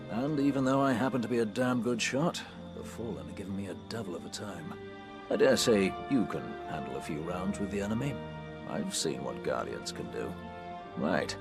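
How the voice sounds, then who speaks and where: An actor playing a courteous, wry older militia sniper with a British accent, speaking in droll, old-fashioned phrases for a video game. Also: male voice, fairly low pitch, creaky. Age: middle-aged. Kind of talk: video game character acting.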